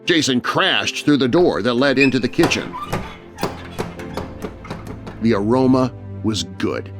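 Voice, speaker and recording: A man's voice: medium pitch, expressive, measured pace, over music. A man narrating a scary story for children in a dramatic, scripted storytelling style.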